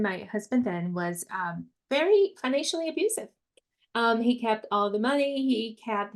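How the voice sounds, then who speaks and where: A woman's voice: medium pitch, fairly bright tone. A woman from Brazil with a Brazilian accent, a money mindset coach who tells her story as a podcast guest and laughs often. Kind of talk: podcast interview, personal story.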